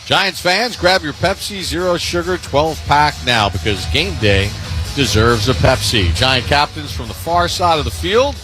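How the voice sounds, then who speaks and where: Guy doing radio football play by play, slightly high voice, clipped descriptive phrases, sound bed behind. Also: big pitch swings, measured pace, over music. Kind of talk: sports play-by-play commentary with scripted sponsor reads. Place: stadium broadcast booth.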